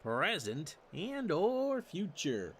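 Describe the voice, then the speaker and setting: A man's voice: fairly high pitch, wildly swooping pitch, drawn-out words, a little hiss or hum. Folksy man calling a simulated ball game. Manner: folksy and self-correcting, jokes at his own slips. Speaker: host and play-by-play caller of a simulated baseball replay. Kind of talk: solo tabletop sports simulation commentary streamed live.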